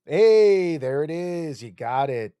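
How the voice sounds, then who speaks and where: Slow-talking playful male podcast host. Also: a little high, lively intonation, mellow, clear, resonant tone, no echo, clean studio sound. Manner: playful, gives hesitant clues full of fillers. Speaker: podcast co-host running a quote quiz. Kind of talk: casual chat.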